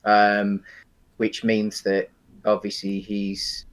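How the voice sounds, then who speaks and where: A man co-hosting a podcast, discussing film casually in a halting, explanatory way. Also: on the low side, completely flat delivery. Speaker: podcast co-host.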